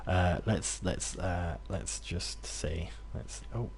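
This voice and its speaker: A man's voice: sits low, slow, creaky, darkish tone, slight background noise. A young British man from near Birmingham with a loosely mixed British accent, chatting casually to viewers while playing a game, rambling with fillers and restarts. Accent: British, mixed, not Brummie. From England, near Birmingham. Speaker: gaming streamer. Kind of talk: casual gameplay commentary to chat.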